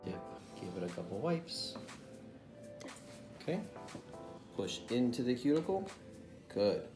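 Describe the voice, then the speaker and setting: A man's voice: medium pitch, very animated, takes it slowly, music in the background. A man in his thirties, a pastor, patiently teaching children step by step at a home dining table, repeating each instruction. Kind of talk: playful how-to demonstration for kids. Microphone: camera sitting on the table.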